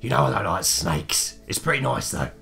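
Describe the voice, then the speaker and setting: A man's voice: lowish voice, big pitch swings, brisk, creaky, pushed and bright, soft background music, full character voice. A man playing a blunt, grumbling adventurer in a tabletop game, with a wry, bossy manner.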